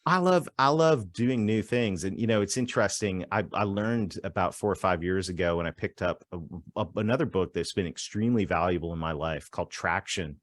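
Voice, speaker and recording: A Canadian man with a fairly low voice, recorded dry and close on a podcast mic. He chats as an interview guest in an upbeat, storytelling way. He breaks into laughter often as he talks. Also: a little fast, hardly any pauses, dry recording. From southwestern Ontario, Canada. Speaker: guest, growth strategist.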